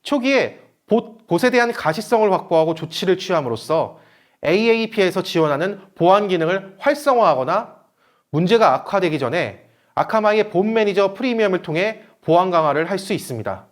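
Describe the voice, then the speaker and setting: High-pitched man giving a formal presentation. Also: animated, a little fast. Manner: formal, explanatory. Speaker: presenter, company manager. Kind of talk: scripted webinar presentation.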